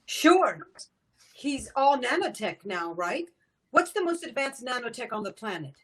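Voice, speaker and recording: A quick-thinking cartoon heroine, sharp and alert. Her voice is fairly high with lively swings in pitch, over faint noise and clicks. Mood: urgent, reasoning. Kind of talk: animated series table read.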